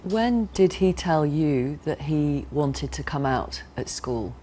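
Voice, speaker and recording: Broadcast interviewer, woman. Serious, measured questioning, slight noise in the recording. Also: low voice, animated, dark tone.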